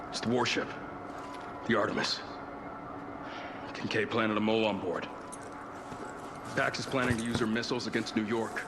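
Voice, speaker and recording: A man plays a serious squad soldier in a war game. His voice is on the low side, clipped and businesslike, with clear background noise around it. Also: lightly acted. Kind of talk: cinematic video game acting.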